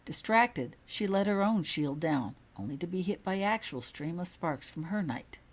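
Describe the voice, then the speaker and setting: A woman reads a storybook-style tale aloud. Her voice is low for a woman and has a husky edge. It is scripted narration, told by the author. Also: animated, normal speed. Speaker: author reading own fan fiction.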